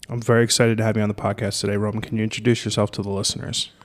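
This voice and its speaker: A man's voice: lowish voice, little pitch movement, racing. An American man hosting a casual interview podcast, asking long, informal, loosely worded questions. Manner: wordy, informal questions padded with "like" and "kinda". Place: studio.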